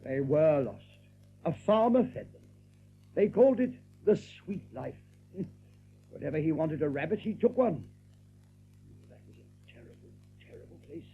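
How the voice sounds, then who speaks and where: An actor playing a nervous rabbit captain in an audio drama, stammering and evasive, as if hiding something. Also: male voice, a little high, animated, moderate pace, long pauses, slightly rough. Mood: uneasy, sombre. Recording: muffled lo-fi tape with background noise.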